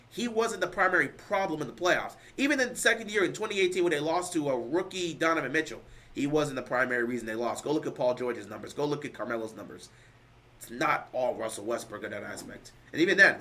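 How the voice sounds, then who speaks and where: A man hosts a casual football talk stream, pressing his points with emphatic repetition. His voice is bright and forward, though he sounds worn out and is losing it. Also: a little high. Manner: emphatic, repeats points, rising to loud stresses. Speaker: sports show host. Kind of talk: casual sports talk, answering live chat.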